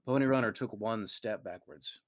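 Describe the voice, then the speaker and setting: A man's voice: on the low side, normal speed, dry recording, clean studio sound. A man narrating a comic fan fiction reading with dramatic storytelling flair. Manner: dramatic storytelling read aloud, occasional stumbles.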